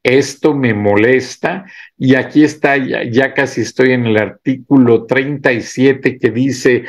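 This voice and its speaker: A middle-aged man giving indignant political commentary on camera, pausing to read legal text aloud in a slow, deliberate way. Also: medium pitch.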